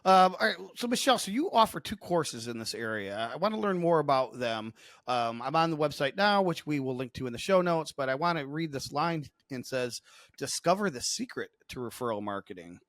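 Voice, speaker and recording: A man co-hosting a podcast, asking a guest questions in a relaxed way with frequent fillers. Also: a little high, a little fast, no room sound, studio quality. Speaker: podcast co-host. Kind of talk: podcast interview.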